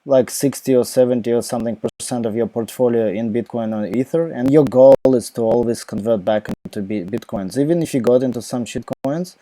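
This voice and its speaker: A man's voice: medium pitch, a little fast, hardly any pauses, warm tone. A young man with a Ukrainian accent hosting a casual live Q&A from home on a laptop mic, rambling with lots of fillers and restarts. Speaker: crypto live Q&A host.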